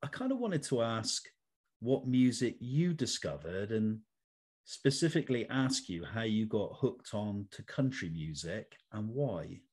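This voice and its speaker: A man's voice: on the low side, sing-song, unhurried, darkish tone, husky. A man hosting an interview, speaking in a formal, wordy way as he sets up multi-part questions. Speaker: interview host.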